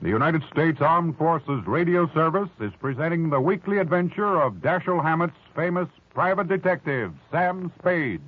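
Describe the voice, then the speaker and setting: A man's voice: a little high, animated, moderate pace, hardly any pauses. A man announcing a show in 1940s radio introduction style. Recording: muffled old radio recording over music.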